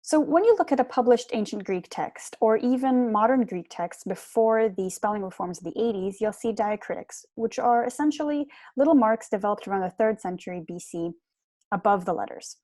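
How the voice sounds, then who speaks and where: A woman's voice: fairly high pitch, lively intonation, normal speed, mellow, no echo. Young woman lecturing, close dry sound. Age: young adult. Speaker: lecturer, PhD student. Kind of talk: prepared seminar lecture. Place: small room at home.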